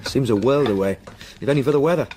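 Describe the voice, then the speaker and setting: An actor playing a polite London solicitor with an English accent, formal and well-spoken, in a period radio drama. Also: male voice, medium pitch, fast talker, mellow, a little hiss or hum, natural voice. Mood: cheerful, polite. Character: polite, proper London solicitor, Arthur Kipps.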